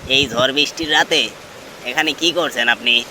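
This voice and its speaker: A man's voice: medium pitch, moderate pace, natural voice. A man playing a talkative villager at a tea stall who gives ominous warnings in a horror cartoon. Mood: chatty, familiar. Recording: rain and wind noise behind.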